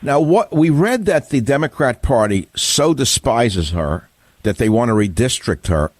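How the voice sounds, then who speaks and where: A man's voice: mid-range voice, lively intonation, measured pace, darkish tone, no echo. An older man with a New York accent hosting a radio call-in interview, asking combative, blunt questions with rising emphasis. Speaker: radio talk show host.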